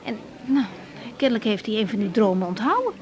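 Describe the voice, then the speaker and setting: An actress playing a teasing, affectionate young bride and doctor in a radio drama, with a Netherlands Dutch accent. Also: female voice, on the high side, fairly quick. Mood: flustered. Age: young adult. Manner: teasing, animated, stammers when flustered.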